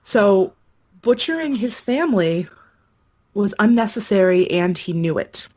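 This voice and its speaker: A woman's voice: mid-range voice, lively intonation, unhurried, warm tone. A woman giving an informal, conversational explanation, telling historical accounts as a podcast guest.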